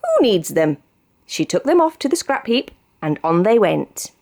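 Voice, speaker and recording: A British woman narrates a picture book for young children. Her mid-pitched voice swoops widely up and down, giving the read-aloud a highly expressive, animated delivery. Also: normal speed, creaky. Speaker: storybook reader.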